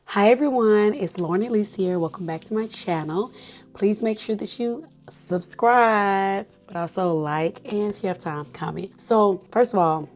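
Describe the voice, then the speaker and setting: A woman, chatting in a bubbly, animated way in a casual get-to-know-me vlog, a little stuffy from sinus congestion. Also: mid-range voice, moderate pace, music in the background. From Nashville, Tennessee. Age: 30s. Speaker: vlogger.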